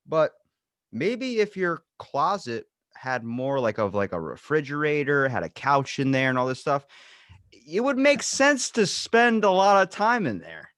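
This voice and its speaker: A man's voice: a little high, sing-song, unhurried, a bit bright, no room sound, clean studio sound. A man hosting a casual podcast chat, loose and profane, telling stories with quoted back-and-forth. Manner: loose, swearing, tells stories with quoted dialogue. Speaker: podcast host.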